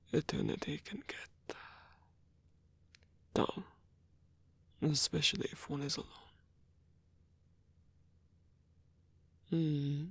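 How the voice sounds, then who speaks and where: A man voicing a lonely old male vampire in an ASMR roleplay, gentle, rambling and bookish, with a soft, breathy, creaky voice and a halting, musing delivery. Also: on the high side, no echo, exaggerated voice. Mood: wistful, wry. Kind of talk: ASMR roleplay audio addressed to the listener.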